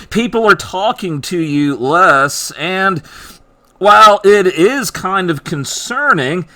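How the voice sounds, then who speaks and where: A man narrating his own writing like an audiobook, with deliberate, emphatic and dramatic phrasing. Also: a little high, pushed and bright. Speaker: author reading own work.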